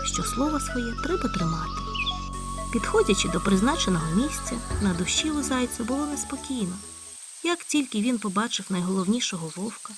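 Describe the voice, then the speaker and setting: A woman narrating a children's fairy tale in a lively storytelling manner. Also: mid-range voice.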